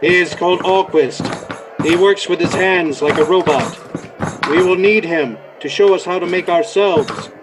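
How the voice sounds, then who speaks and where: A man playing a cold, commanding robot leader in a 1930s-style radio drama, speaking in measured, declamatory orders. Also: high-pitched, over music, natural voice, low-quality recording.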